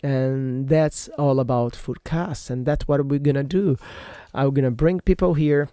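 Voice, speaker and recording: A man's voice: mid-range voice, fairly even intonation, measured pace, dark tone, no room sound, studio quality. A man whose first language is Portuguese hosts a solo podcast in a rambling, casual way, full of fillers and self-corrections. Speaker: podcast host. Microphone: computer microphone.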